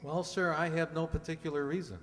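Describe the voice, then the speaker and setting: A man's voice: on the high side, steady tone, brisk, fairly bright tone, lightly acted. A man playing an earnest, polite young contestant on an old-time radio comedy quiz, answering literally and deferentially. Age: 20s. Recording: reverberant hall recording.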